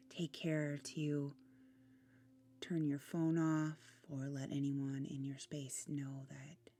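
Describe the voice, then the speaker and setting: A woman leading a guided relaxation meditation in a soothing, gentle manner, with soft, breathy phrase endings. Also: deep voice. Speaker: meditation guide, channel host.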